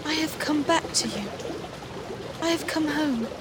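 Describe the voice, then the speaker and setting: A woman's voice: high voice, animated, a little slow, very noisy. A woman playing a pleading, remorseful young woman. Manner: pleading, earnest. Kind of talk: audiobook drama reading.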